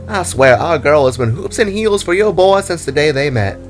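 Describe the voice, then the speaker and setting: A man's voice: a little high, expressive, normal speed, faint music behind, pitched above the performer's own voice, natural voice. Guy doing a big owl parent, hooty n teasing, voice pushed up, story stuff. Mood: amused, teasing. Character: large hooting owl, hearty teasing proud parent. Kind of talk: scripted character voice in audio story.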